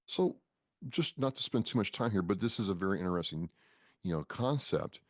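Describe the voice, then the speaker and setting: A man's voice: low voice, animated, a little fast, dry recording, clean studio sound. An American man hosting a podcast interview, talking in an enthusiastic, informal way and readily jumping in. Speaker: podcast host.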